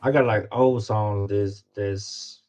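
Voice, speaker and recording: A man's voice: fairly low pitch, steady tone, slow, darkish tone. A man chatting casually as an interview guest, informal and often repeating words as he searches for phrasing. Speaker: guest, independent rapper. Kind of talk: casual chat.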